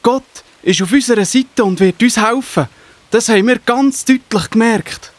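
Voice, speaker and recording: A man's voice: extremely high pitch, normal speed, no room sound. A man with a Swiss German accent playing an earnest, devout spy in a children's Bible audio drama, speaking plainly. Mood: excited, urgent. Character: earnest, devout male spy serving God's people.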